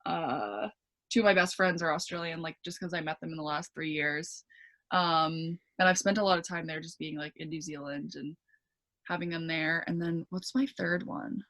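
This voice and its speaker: Bright chatty Canadian young woman. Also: low-pitched, fairly even intonation, normal speed. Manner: chatty, many fillers and restarts, laughs easily. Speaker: guest, pro tennis player. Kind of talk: casual interview chat.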